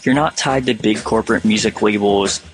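A man reading scripted documentary narration in a steady, explanatory way. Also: fairly low pitch, normal speed. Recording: music underneath. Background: music.

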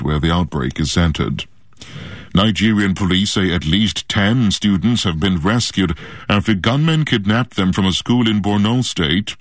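Deep-voiced male newsreader, measured and dry. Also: dry recording. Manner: measured, formal delivery. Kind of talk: formal scripted news bulletin.

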